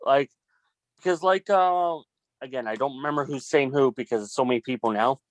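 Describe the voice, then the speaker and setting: A man talking casually in a group discussion, halting and repeating himself, often leaning on "like". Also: medium pitch, no echo. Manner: halting, repetitive, heavy use of "like". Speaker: film production student in a group meeting.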